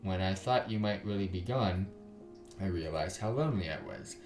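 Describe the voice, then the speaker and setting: A man's voice: low voice, moderate pace, darkish tone, close to an everyday voice. A man voicing a guarded, sardonic young woman with emotional delivery in a visual novel. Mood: vulnerable. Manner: snarky, emotional. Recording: roomy echo over guitar music.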